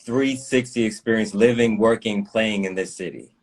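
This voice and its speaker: A deep-voiced man hosts a community interview. He asks questions in a friendly, encouraging way and lets the guest talk. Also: normal speed. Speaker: interview host, school staff. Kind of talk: interview hosting.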